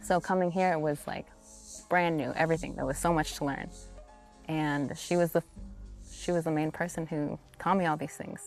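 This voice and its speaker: A woman, a singer, speaking reflectively in an interview outside a family house, sometimes in quick bursts. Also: sits low, fairly even intonation, a little mellow, faint music behind. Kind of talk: casual interview answers.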